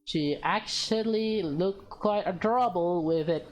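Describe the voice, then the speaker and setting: A woman reads a visual novel aloud at an unhurried pace, dropping in casual reactions. Her voice sits slightly low for a woman, and her delivery is halting, with stumbles over words. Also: a little hiss or hum. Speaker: let's player reading a visual novel aloud.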